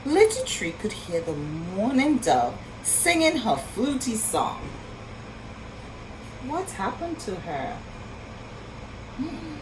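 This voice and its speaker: Slow, very animated woman reading to preschoolers. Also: on the high side, noisy background. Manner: expressive, sing-song, pausing to ask young listeners questions. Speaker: children's storytime reader and teacher. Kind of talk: read-aloud story for preschool children.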